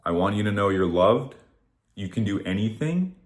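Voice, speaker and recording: A man's voice: on the low side, expressive, moderate pace. A man in his thirties giving a casual, rambling motivational talk to camera, swearing often and shrugging things off with "whatever.". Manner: rambling, profane, repeats "whatever". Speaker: vlogger.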